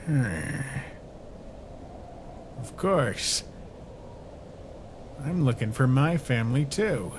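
A performer voicing a sinister hooded male skeleton in a dramatic comic dub, soft-spoken and measured. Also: mid-range voice, big pitch swings, noisy background. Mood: calm, ominous. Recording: voice over music.

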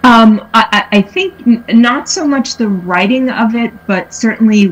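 A woman's voice: fairly high pitch, unhurried, low-quality recording. Chatty woman on a kitchen video call. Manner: chatty, with frequent fillers. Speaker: cookbook author. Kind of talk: conversational cooking demo. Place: home kitchen. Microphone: webcam on a video call.

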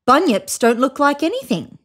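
A woman's voice: high voice, very animated, normal speed, pitched above the performer's own voice. Woman as a curt, dismissive busy storybook man. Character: busy, indifferent man of science, curt and certain.

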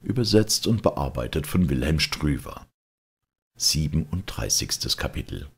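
A man reading literary prose as an audiobook narrator, with a gravelly, husky voice and measured, deliberate phrasing. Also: rumbling low, expressive.

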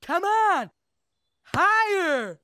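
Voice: extremely high pitch, big pitch swings, drawn-out words, bright, forceful tone, slight background noise, heavily acted. A performer voicing a boisterous young animal kid in a children's cartoon, with music behind.

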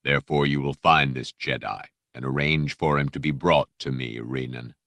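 A man voicing a menacing, coldly polite armored dark lord in an audiobook, with rich, silky, measured speech. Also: steady tone, no room sound, exaggerated voice. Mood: commanding. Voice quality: deep, rich, silky, mellifluous. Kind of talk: audiobook character voice.

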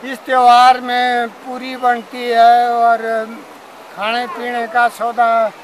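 A man's voice: extremely high pitch, completely flat delivery, takes it slowly. A middle-aged villager, a man from India, answering an interviewer outdoors with faint noise around him. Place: outdoors by a river.

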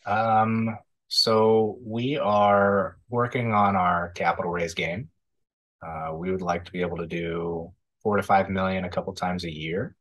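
A man in his thirties with a very low, husky voice. He answers in a measured, thoughtful way, pausing on ums. He is on a video call from a room at home. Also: little pitch movement, a bit bright, lo-fi sound. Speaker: podcast guest, real estate investor. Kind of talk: casual interview answers.

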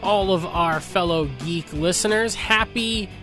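A man's voice: sits high, unhurried, pushed and bright, faint music behind. A man from upstate New York co-hosting a podcast in casual chat, sounding stuffy and congested. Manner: easygoing, chatty with fillers. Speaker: podcast co-host.

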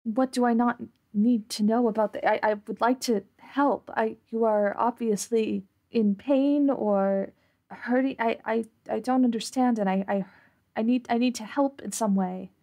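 A woman's voice: a little high, fairly even intonation, measured pace, mellow. A woman voicing an anxious young crew member who is eager to help, stammering in a tabletop game. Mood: worried, pleading. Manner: stammering, repeating words.

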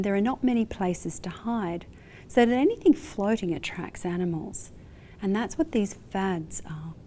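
A woman in her forties with an Australian accent, presenting a scripted science lesson to camera in a measured, explanatory way. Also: mid-range voice, dark tone, a little hiss or hum. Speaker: marine biology professor presenting. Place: indoor lab studio with aquarium.

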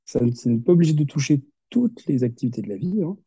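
A man's voice: fairly high pitch, big pitch swings, brisk, mellow, husky, dry recording. A man in his thirties, an occupational therapist, explaining patiently with examples in a video call interview. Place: home office with window. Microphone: headset on video call. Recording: muffled video call audio.

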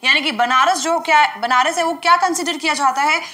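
A young woman teacher with an Indian accent explaining a lesson, reading English text slowly and then explaining it quickly while asking the class questions. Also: high-pitched, pushed and bright. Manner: asks the class questions, frequent "okay" and "right". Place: studio in front of a smartboard.

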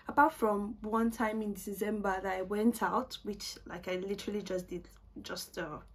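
Young woman beauty vlogger, chatty and self-mocking, medium pitch, home room, desk camera. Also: husky. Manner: chatty, self-mocking, repeats words for emphasis. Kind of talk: casual makeup tutorial chat. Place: small home room, indoors.

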